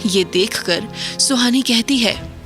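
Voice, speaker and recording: Woman narrating a horror audio drama. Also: on the high side. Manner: measured, suspense-building storytelling. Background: background music.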